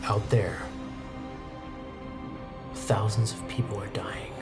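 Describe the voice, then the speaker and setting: A man's voice: lowish voice, steady tone, slow pace, in a whisper, distant mic. A man voicing a stern, grieving sword master in a tabletop game, restrained and grave, with music behind him. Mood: grim.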